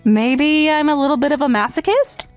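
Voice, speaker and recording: A woman's voice: high-pitched, normal speed, lightly acted. A woman playing a devoted, clingy young step-sister who teases coyly in an English-dubbed manga romantic comedy. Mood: coy, teasing. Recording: music behind.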